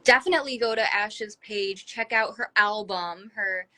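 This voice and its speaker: A woman's voice: mid-range voice, normal speed, bright, forceful tone, a little hiss or hum. A woman hosting a casual livestream interview, chatting with her guest in an effusive, encouraging way. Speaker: talk show host interviewing a guest. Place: livestream video call from a phone.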